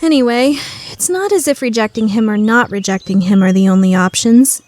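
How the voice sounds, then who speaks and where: A woman's voice: fairly high pitch, a little fast, darkish tone, soft background music, natural voice. A woman voicing a theatrical, easily flustered, scheming teenage girl in an anime fandub radio play. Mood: exasperated. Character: dramatic, scheming, guilt-ridden teenage student girl.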